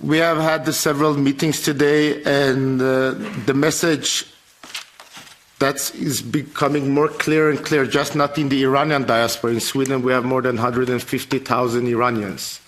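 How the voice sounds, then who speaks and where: Man from Iran, parliamentarian, earnest emphatic address, phrasing, mid-pitched voice. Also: flat delivery, normal speed, a little hiss or hum. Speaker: member of parliament. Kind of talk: formal address at a parliamentary event.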